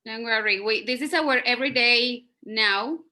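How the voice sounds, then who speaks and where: Woman, native Spanish speaker, high-ish pitch, sharp bright tone, informal class host on a video call. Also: normal speed. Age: middle-aged. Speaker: teacher hosting a class interview. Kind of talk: informal online class introduction.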